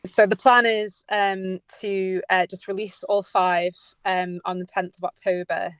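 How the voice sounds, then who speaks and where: A woman's voice: medium pitch, little pitch movement, measured pace, a bit bright, clear, resonant tone, dry recording, dull audio. A woman giving informal, unscripted radio interview answers as a drama writer, hesitant and often correcting herself as she goes. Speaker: audio drama writer and producer, interview guest.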